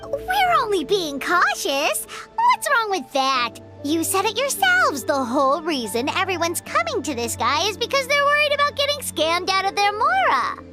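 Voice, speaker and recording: A woman's voice: very high-pitched, wildly swooping pitch, moderate pace, hardly any pauses, over loud music, exaggerated voice. A woman voicing a tiny, blunt, chirpy female fairy companion in a game, who speaks of herself in the third person. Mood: wary. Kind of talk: game voice acting.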